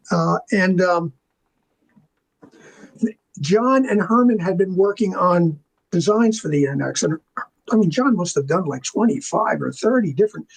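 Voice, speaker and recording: A man's voice: sits high, creaky, slightly rough, poor audio. An older man telling casual anecdotes as an interview guest, acting out the dialogue in colloquial language. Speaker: interview guest, veteran visual effects artist.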